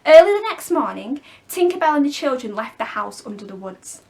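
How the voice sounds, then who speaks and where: A woman storyteller reading a children's story expressively, with clear phrasing for young listeners. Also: high-pitched, very animated, fairly quick, a little mellow. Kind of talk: expressive scripted storybook narration.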